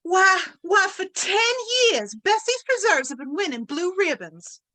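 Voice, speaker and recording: A woman's voice: very high-pitched, big pitch swings, a little slow, bright, forceful tone, heavily acted, poor audio. A woman playing a haughty, offended small-town wife in a radio western, spluttering and scolding. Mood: outraged, scolding. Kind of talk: old-time radio western acting.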